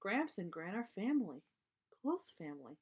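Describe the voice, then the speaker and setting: A woman's voice: medium pitch, big pitch swings, moderate pace, husky. Woman as a cautious, caring storybook mother bear. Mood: doubtful. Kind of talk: children's storybook read-aloud.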